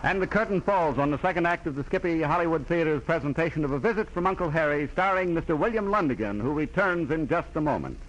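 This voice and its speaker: Lively male 1940s radio host, muffled. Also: fairly high pitch, quick pace, few pauses, slight background noise. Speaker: radio show director and host. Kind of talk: 1940s radio hosting, scripted banter.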